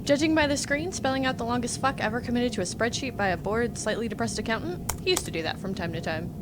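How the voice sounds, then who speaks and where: A woman's voice: animated, quick pace, close to an everyday voice. A woman playing a self-assured, teasing old flame, wry and friendly, in a comedic audio drama. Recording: clear background noise with rumble.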